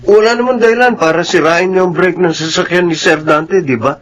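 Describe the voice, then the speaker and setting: An actor playing an honest, humble family driver in a radio drama, speaking in a deferential, measured way. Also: male voice, high voice, a bit bright. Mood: meek, earnest.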